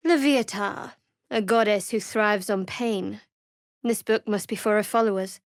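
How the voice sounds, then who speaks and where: A woman's voice: medium pitch, unhurried, no room sound, clean studio sound, close to an everyday voice. A woman playing a guarded, sardonic young female cleric in a fantasy video game, speaking in a cool, wry, clipped manner.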